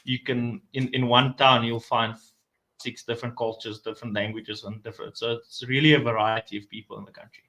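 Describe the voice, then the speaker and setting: A South African man, Afrikaans his first language, talks English with an Afrikaans accent at an ordinary middle pitch. He speaks casually and hesitantly, restarting phrases as he goes. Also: level tone, fairly quick, sharp tone, poor audio. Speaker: podcast guest. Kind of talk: casual podcast chat.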